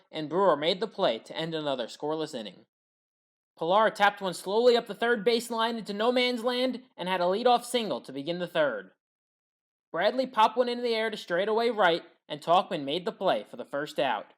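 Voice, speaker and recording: A woman delivering a scripted play-by-play recap as a sports highlights commentator.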